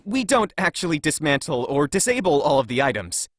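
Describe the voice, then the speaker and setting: A man playing a nervous lab worker in a visual novel, explaining himself in a halting but insistent way. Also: a little high, very animated, fairly bright tone, no echo, studio quality.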